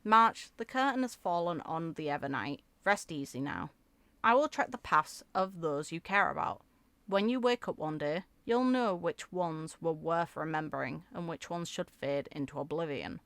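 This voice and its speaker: A woman reading video game story text aloud in an expressive, theatrical way, as a content creator. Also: low-pitched, normal speed, no room sound. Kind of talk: reading game lore aloud with reaction asides.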